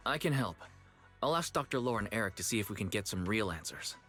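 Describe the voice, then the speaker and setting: Calm young male game investigator: lowish voice, polite, measured, soft music bed. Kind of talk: video game story dialogue acting.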